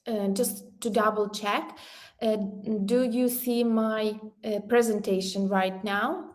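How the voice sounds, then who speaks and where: Slow, hesitant woman from Ukraine. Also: medium pitch, fairly even intonation. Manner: slow, hesitant, many fillers and restarts. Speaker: workshop presenter, online seller. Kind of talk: online workshop presentation.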